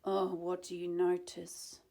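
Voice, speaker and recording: Very slow, expressive woman storyteller. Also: fairly low pitch. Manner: dramatic pauses, drawn-out phrasing. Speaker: children's story reader. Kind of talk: expressive read-aloud narration with asides to listeners.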